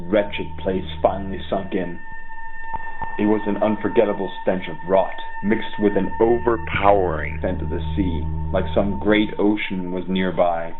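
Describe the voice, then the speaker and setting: A man playing a haunted, dread-stricken scholar who gravely and formally confesses to an audio journal in a horror drama. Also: sits low. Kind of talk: scripted horror audio drama monologue, literary diction. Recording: tinny phone-like audio log. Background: music.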